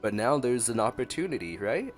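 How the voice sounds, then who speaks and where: A man's voice: medium pitch, very animated, a little fast. A man giving casual, playful reactionary commentary as he streams a game. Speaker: gameplay streamer. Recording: game music behind the voice.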